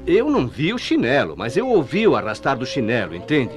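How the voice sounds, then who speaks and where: A man's voice: medium pitch, huge pitch swings, fairly quick. An actor playing an earnest, puzzled male hospital night-shift worker in a Brazilian radio mystery drama. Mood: insistent. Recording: radio play with music behind.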